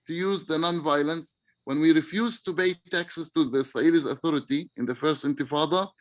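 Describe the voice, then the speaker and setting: A man from Palestine, answering interview questions on a video call in a halting way, searching for words. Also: fairly high pitch, no echo. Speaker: webinar guest.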